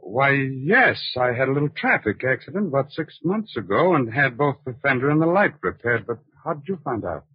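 An actor playing a bewildered, polite man caught up in a crime case, earnest and husky, in an old-time radio drama. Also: medium pitch, very animated, fairly quick, few pauses, dry recording. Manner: earnest, uncertain. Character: bewildered, polite man wrongly blamed for accident. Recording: dry, muffled old radio drama recording.

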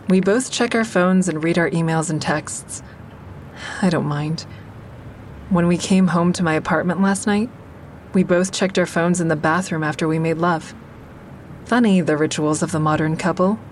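A woman's voice: on the low side, slight background noise, close to an everyday voice. An actress playing a female comic, narrating her love life in an intimate, wry way. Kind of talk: first-person audio drama narration.

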